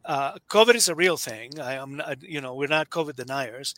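A man's voice: fairly high pitch, lively intonation, moderate pace, fairly bright tone, no room sound. A man in his fifties from Nicaragua, talking as a guest author in a casual interview, hesitant with many fillers and restarts.

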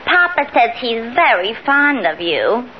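Boss's teasing daughter, sarcastic 1950s radio comedy, high voice, muffled and noisy old recording. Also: female voice, sing-song, measured pace. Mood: brisk, gleeful. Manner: wisecracking, sarcastic. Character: boss's brassy daughter, a young woman, dry and teasing.

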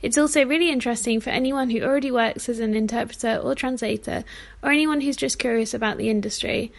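A woman's voice: a little high, fast talker, vocal fry. Upbeat woman hosting a podcast. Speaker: podcast host. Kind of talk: scripted intro and casual interviewing.

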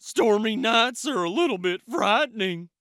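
Man voicing a goose, nervous, jittery, high, storybook. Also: animated, normal speed, fairly bright tone, no echo, studio quality.

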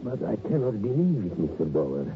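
Troubled elderly gentleman, pleading radio drama delivery, expressive swings, narrow muffled broadcast sound. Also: lowish voice, brisk, slight background noise. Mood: disbelieving. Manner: hesitant, disbelieving, pleading. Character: gentle, troubled old blind male former violinist. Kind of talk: 1950s-60s radio drama acting.

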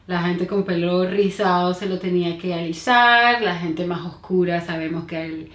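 A Venezuelan woman in her thirties, a guest in a casual interview, talking with many fillers, pauses and restarts. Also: fairly low pitch, steady tone, a bit bright, reverberant.

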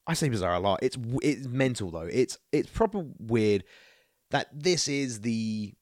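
Animated man chatting on a podcast. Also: mid-range voice, measured pace, no echo, studio quality. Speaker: podcast host. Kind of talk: casual film and video game chat.

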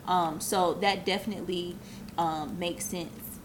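A woman's voice: fairly low pitch, fairly even intonation, measured pace, noisy background. A woman in her twenties, a business owner, talking earnestly in a casual interview with plenty of fillers. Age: 20s. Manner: earnest, reflective, many fillers.

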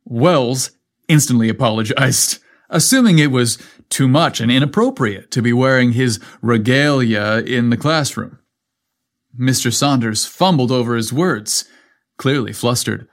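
A man's voice: medium pitch, measured pace. A man reading a scripted story aloud as its storyteller.

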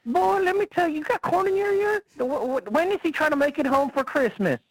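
A man putting on a brash, high Texan caller. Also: animated, a little fast, no room sound, poor audio. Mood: blustery. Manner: brash, folksy, keeps calling people boy.

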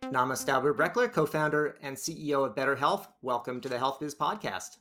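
A man's voice: mid-range voice, brisk, fairly bright tone, soft background music. A man hosting a business podcast, reading a scripted introduction and then asking his guest questions in a loose, conversational way. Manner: conversational, with fillers and restarts when asking. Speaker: podcast host.

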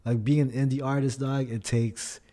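A man with Tagalog as his first language talks as a guest on a podcast. His voice is mellow and relaxed in tone. He chats casually in friendly slang, often tagging his sentences to check in with the listener. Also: mid-range voice, normal speed. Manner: slangy, friendly address with frequent tags. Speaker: podcast guest, indie hip-hop artist. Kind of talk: casual chat.

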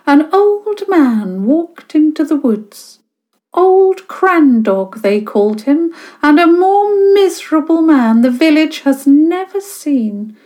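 A woman's voice: high voice, darkish tone, voice pushed up, full character voice. A woman doing a theatrical village gossip spinning a ghost tale. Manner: theatrical, drawn-out storytelling.

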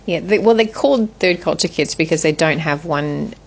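Low creaky-voiced woman, thoughtful. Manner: thoughtful, measured, with frequent restarts. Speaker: guest. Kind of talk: reflective interview conversation.

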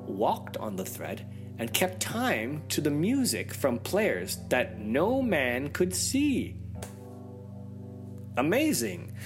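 A man reads a fairy tale for children in an animated way, with dramatic pauses and playful asides. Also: on the high side. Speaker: story reader. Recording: music behind the voice.

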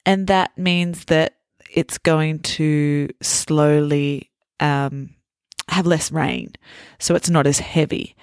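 A woman hosting a podcast, slowly and clearly explaining English phrases to learners. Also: lowish voice, dry recording, studio quality. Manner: slow, clear, teacherly explanations. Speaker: podcast host.

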